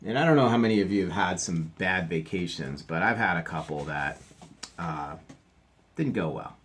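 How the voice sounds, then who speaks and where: A man teacher reading a picture book aloud to children, stopping for gentle chatty asides. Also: deep voice, animated, moderate pace, slight background noise.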